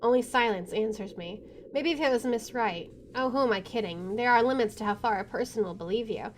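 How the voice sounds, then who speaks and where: A woman's voice: fairly high pitch, a little fast, slight background noise. A young woman hosting a game channel, reading a visual novel aloud and breaking into jumpy, chatty reactions. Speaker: gaming channel host.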